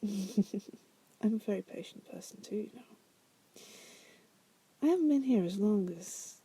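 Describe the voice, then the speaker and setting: A woman's voice: medium pitch, expressive, slow, husky, full character voice. A woman playing a wry, seductive demon prisoner in an ASMR roleplay, often whispering, with a teasing, musing manner. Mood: sly, amused. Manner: teasing, musing, drawling asides with soft chuckles. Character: wry, seductive female demon prisoner, patient deal-maker.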